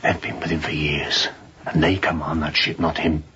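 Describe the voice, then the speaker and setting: An actor playing a weary, haunted escaped seaman who confides in a hushed, halting way, as in a radio drama. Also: a bass voice, level tone, dull audio, full character voice. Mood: bitter, haunted. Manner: hushed, breathy, halting confession.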